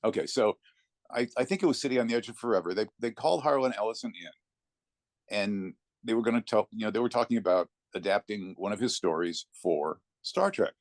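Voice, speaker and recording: A man talking conversationally as an interview guest, telling anecdotes in a reflective way with thoughtful pauses. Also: lowish voice, dry recording, clean studio sound. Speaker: interview guest, narrator of classic readings.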